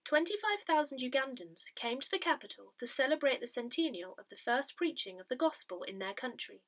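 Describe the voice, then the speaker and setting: A woman reading a parish newsletter aloud in a soft, breathy, measured way. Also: high voice, big pitch swings. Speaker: newsletter reader.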